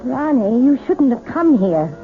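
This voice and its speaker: An actress playing a gentle, anxious battered wife in a 1970s radio drama, hesitant and pleading. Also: female voice, high-pitched, very animated, a little slow, music in the background, exaggerated voice. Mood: alarmed, pleading. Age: adult, older than twenty. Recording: tinny, band-limited old radio broadcast.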